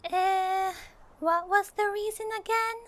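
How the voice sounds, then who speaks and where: A woman's voice: fairly even intonation, takes it slowly. A woman voicing a tiny, childlike, chatty fairy companion in a fan audio roleplay. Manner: refers to self in third person, squeaky and childlike.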